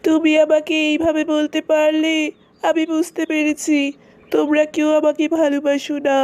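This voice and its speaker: A woman plays a stubborn cartoon wife and mother with a high voice. She scolds and sulks with aggrieved drama, yet the melody of her speech stays fairly flat. Music plays behind her. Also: normal speed, lightly acted. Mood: hurt. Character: huffy, stubborn, sulking Bengali wife and mother. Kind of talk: cartoon family comedy dialogue.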